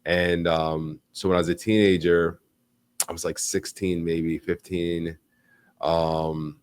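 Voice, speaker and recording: A young American man hosts a live online chat, rambling and animated, with frequent "like" and "you know" fillers. His voice is very low. The sound is dry and close, with no room echo. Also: slow pace, clear, resonant tone. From United States. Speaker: livestream host. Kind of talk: casual live interview.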